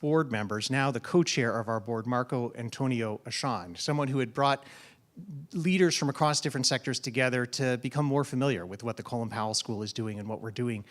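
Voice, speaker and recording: Man, college dean, event host, measured delivery, frequent fillers, middle pitch. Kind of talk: moderating a public conversation.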